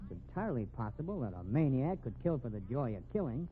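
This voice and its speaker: Insistent male amateur detective played by an actor, 1950s radio drama. Earnest, slangy, lively intonation, thin tinny recording. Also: medium pitch, fast, a little hiss or hum, close to an everyday voice. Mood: frustrated.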